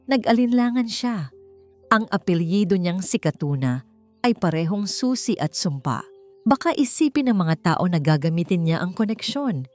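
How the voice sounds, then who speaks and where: A woman's voice: medium pitch, a little mellow. A woman with a Filipino accent reads a scripted romance story as a dramatic narrator. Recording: music under the voice. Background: background music.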